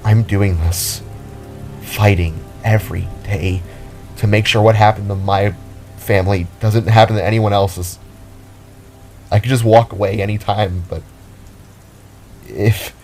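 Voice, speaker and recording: A man voicing a brooding, dour alien hero who speaks in a halting, earnest and grave way for an audio drama. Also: on the low side, fairly even intonation, a little mellow, faint music behind. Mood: grim, resolute. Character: brooding, dour alien spark hero, last of his kind.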